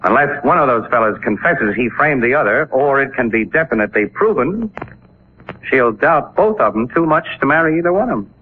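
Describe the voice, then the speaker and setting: An actor playing a sharp, persistent newspaper photographer sleuth in a 1940s radio drama, talking in a casual, clipped, probing way. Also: male voice, mid-range voice, expressive, a little fast, close to an everyday voice. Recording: muffled, noisy 1940s radio recording.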